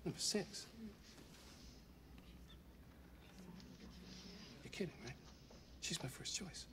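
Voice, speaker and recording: A man's voice: a little high, animated, moderate pace, lightly acted. An actor playing a brash, exasperated middle-aged male defense lawyer in a film drama, blunt and sarcastic. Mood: incredulous. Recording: noisy film clip played back.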